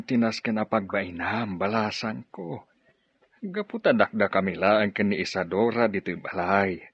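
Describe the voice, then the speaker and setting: Low-voiced, kindly older gentleman. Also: slightly rough, no room sound, heavily acted. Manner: gentle, reassuring. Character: kindly, protective older bachelor farmhouse owner. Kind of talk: Ilocano radio drama acting. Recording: dull audio with faint noise.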